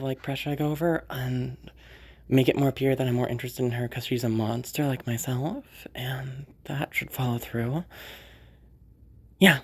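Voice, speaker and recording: A woman's voice: extremely low pitch, darkish tone. A woman voicing a sociopathic rich young woman who mutters her plans to herself and swears a lot. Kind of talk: audio roleplay monologue.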